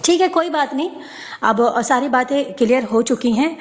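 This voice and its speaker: A woman's voice: high-pitched, lively intonation, moderate pace. A woman in a heated personal conversation on a recorded phone call, speaking insistently and repeating herself to take the floor.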